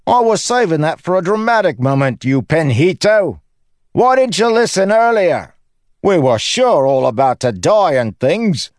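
Audiobook role: exuberant, boastful young soldier, high and animated, theatrical, playful. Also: male voice, unhurried, no echo, clean studio sound. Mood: indignant. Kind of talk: audiobook character acting.

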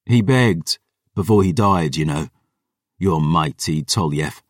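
Man acting a deep, menacing undead warlord, echoing. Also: takes it slowly, clean studio sound. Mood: stern contempt. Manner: archaic, taunting, imperious. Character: cold mocking male undead warlord, proud and menacing. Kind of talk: dramatic audiobook fantasy dialogue. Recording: roomy echo, distant from mic.

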